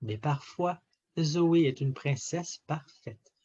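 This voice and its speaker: A man reading a children's story aloud in an expressive, theatrical way with emphatic phrasing. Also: fairly high pitch, takes it slowly, highs cut off. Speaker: storybook reader.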